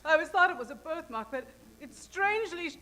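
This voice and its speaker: A woman's voice: very high-pitched, expressive, quick pace, sharp tone, heavily acted. A woman playing a flustered damsel client in an improvised old-time radio detective parody, hesitant, breathless and melodramatic.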